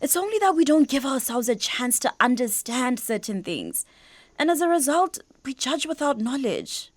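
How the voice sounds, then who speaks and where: An actress playing a confident young bride-to-be in a radio drama, speaking articulately with a Botswana accent. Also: female voice, high-pitched, sing-song, normal speed, dry recording. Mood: earnest, persuasive. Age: young adult. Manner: articulate, explanatory, code-switching. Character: confident young bride-to-be businesswoman, reasoning earnestly.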